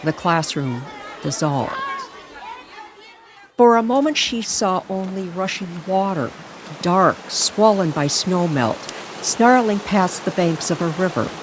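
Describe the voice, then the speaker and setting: A woman narrating a scripted mystery story dramatically, with deliberate, suspenseful phrasing. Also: on the low side, animated, a crowd in the background, background noise.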